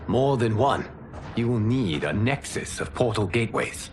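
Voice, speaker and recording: An actor playing an earnest male guardian leader in a fantasy video game, speaking in a formal, measured way. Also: medium pitch, expressive, music in the background, close to an everyday voice. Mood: grave, resolute. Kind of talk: dramatic video game acting.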